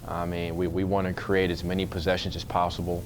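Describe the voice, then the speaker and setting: A man in his thirties, a basketball coach, speaking in a measured, earnest way in an informal interview. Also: low-pitched, flat delivery, slight background noise. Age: 30s.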